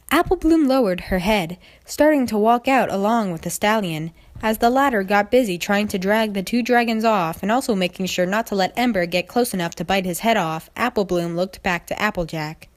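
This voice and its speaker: A woman narrates a story in a mid-pitched voice. Her reading is expressive and amateur, slipping into casual asides. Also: moderate pace. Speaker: story reader.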